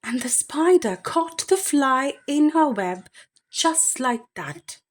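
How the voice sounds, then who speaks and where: A woman reading a children's picture book aloud in an expressive, deliberate bedtime storytelling manner. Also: sits high. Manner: expressive, deliberate phrasing with animal sound imitations.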